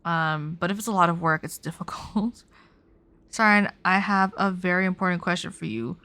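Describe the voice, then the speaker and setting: A woman's voice: fairly low pitch, moderate pace, bright, forceful tone. A young woman livestreaming a game, chatting casually and reacting in an informal, giggly, sweary way.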